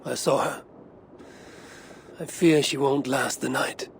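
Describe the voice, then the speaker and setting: An actor playing a battle-hardened, honourable Viking warrior in a game cutscene, measured and solemn, with a gravelly voice. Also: male voice, medium pitch, fairly even intonation, a little hiss or hum. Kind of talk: dramatic game cutscene acting.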